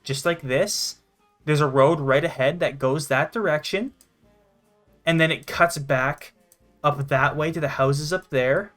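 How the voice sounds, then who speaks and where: A young man giving excitable, sweary, casual commentary as he plays a game. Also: on the high side, measured pace, soft background music. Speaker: gaming channel host.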